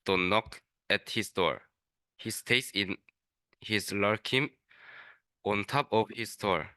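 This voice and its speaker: A young man, a native Korean speaker, reading a rhyming children's story aloud haltingly, stumbling over words. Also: lowish voice, pushed and bright, clean tone, no echo. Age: young adult. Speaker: student reader.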